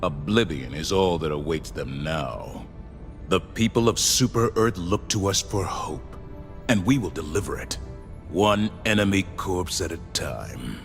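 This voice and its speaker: A solemn, zealous military officer delivers stately game lines in a fairly low voice. His words ring out in a big reverberant hall over clear music. The oratory is grandiose and formal, like propaganda for an empire. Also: animated, a little slow, heavily acted. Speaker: game character voice actor.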